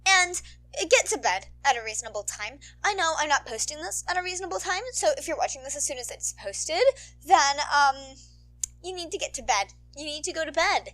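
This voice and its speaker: A woman's voice: sits high, lively intonation, a little fast, sharp tone, rough recording. A young woman chatting casually to her listeners as a channel host, in a playful, rambling, bubbly manner. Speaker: podfic reader and channel host.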